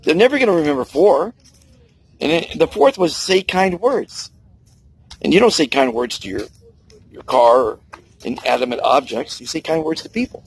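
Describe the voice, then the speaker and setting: Older man, 60s, gravelly husky voice, animated and preachy vlogger speaking outdoors by the sea. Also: moderate pace, a little hiss or hum. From United States. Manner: earnest, preachy, emphatic with big pitch swings. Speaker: kindness vlogger. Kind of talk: outdoor personal monologue.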